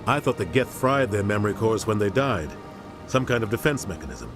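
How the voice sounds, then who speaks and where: A man's voice: on the low side, very animated, a little fast. A man playing a serious, assertive soldier squadmate in a video game, earnestly reasoning aloud. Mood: serious, convinced. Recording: game dialogue over loud music.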